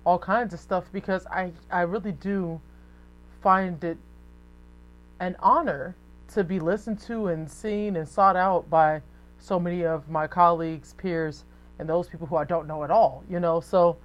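A woman in her thirties with a deep voice, hosting her own podcast in a frank, casual monologue full of swearing and rhetorical asides. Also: normal speed, rough recording. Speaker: podcast host.

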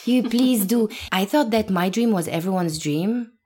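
A woman's voice: mid-range voice, a little slow, dark tone, slightly rough. A woman in her thirties from Lebanon, an actress talking earnestly and emphatically as an interview guest. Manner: earnest, emphatic, laughs now and then. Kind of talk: reflective interview talk.

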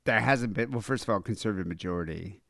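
A young man co-hosting a podcast, chatting sarcastically about politics, swearing and halting with fillers. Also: fairly low pitch, vocal fry, a bit bright, no room sound, clean studio sound. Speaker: podcast co-host. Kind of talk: casual political chat.

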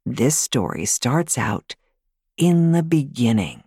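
A woman voicing a loving, patient grandmother in an audiobook, gentle and reassuring. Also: rumbling low, very animated, slow, husky, no echo, clean studio sound, heavily acted. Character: loving, patient older grandmother soothing children. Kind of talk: audiobook character voice.

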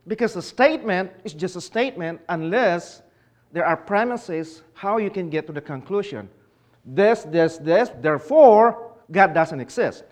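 Man from the philippines, conference speaker, slightly high voice, questions the audience. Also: animated, a little slow. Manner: explanatory, asks the audience questions. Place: conference hall.

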